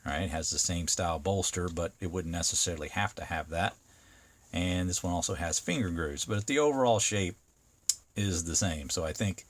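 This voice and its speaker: A man's voice: low-pitched, a little hiss or hum. A man giving a casual, unscripted product review as a video host, talking in an easygoing, meandering, hesitant way.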